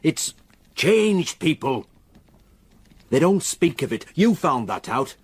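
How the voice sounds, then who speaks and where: An actor playing a grave, reluctant local countryman in a radio drama, with a solemn, halting way of telling a dark story. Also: on the high side, sing-song, husky, no room sound, exaggerated voice. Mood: reluctant, sombre.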